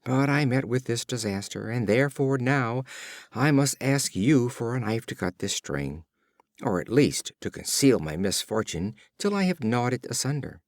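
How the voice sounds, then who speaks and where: A man voicing a sly, flattering male fox caught in a trap, with artful, wheedling storybook speech. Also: mid-range voice, normal speed, dry recording, clean studio sound.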